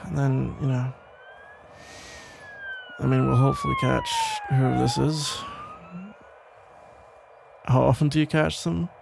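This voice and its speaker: A man playing a protective, worried police officer and ex-boyfriend in an ASMR audio roleplay, talking in a halting, casual, gently exasperated way with a gravelly voice. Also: medium pitch, huge pitch swings, noisy background, full character voice. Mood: calmer, inquisitive. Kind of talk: ASMR roleplay, conversational one-sided acting.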